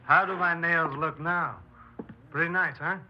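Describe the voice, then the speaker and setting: An actor playing a vain, breezy small-time burglar in a 1950s radio crime drama, with slangy, sly, casual talk. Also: male voice, fairly high pitch, fairly even intonation, unhurried, natural voice. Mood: needling. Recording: muffled 1950s radio broadcast, faint noise.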